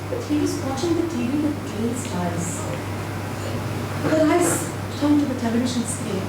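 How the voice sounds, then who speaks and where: Distant, very echoey, noisy recording: female story reader, high voice, expressive dramatic narration. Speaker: reader at a dramatised book reading. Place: indoor event hall at a live reading.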